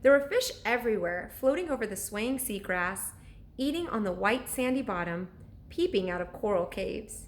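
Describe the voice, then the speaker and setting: A woman reading a children's story aloud like a teacher to pupils, with expressive, deliberate narration. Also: mid-range voice, a little hiss or hum.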